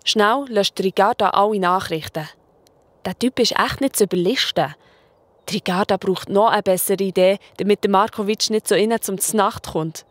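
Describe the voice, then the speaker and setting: A woman's voice: medium pitch, normal speed, no echo. A woman with a Swiss accent narrating a children's story in a colloquial style.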